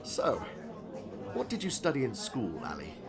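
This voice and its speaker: Man playing a genial older professor, very lively intonation. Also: medium pitch, loud music behind the voice. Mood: cheerful. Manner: courteous, gently philosophical, measured. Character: genial, corny older retired physics professor turned novelist. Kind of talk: audiobook drama acting.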